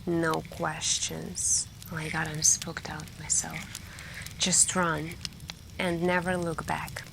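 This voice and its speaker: A woman speaks in a fairly low, hushed voice at an extremely slow pace, leaving long pauses and sometimes slipping toward a whisper. It is intimate ASMR roleplay storytelling, with clear crackling campfire noise behind her. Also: little pitch movement. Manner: hushed, drawn-out, pausing, intimate, with stretches of whispering. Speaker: roleplay storyteller playing a girlfriend on a camping trip. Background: campfire crackling and night ambience.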